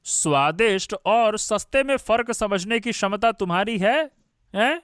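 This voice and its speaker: A woman voicing a mocking, sarcastic young sister-in-law who plots against the new bride in an animated story. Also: medium pitch, unhurried, no echo, clean studio sound, lightly acted.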